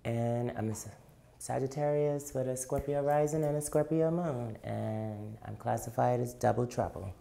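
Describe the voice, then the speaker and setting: A woman from Chicago giving personal testimony in a documentary interview, speaking slowly, deliberately and emphatically. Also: very deep voice, gravelly, dark tone. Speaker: interviewee, activist.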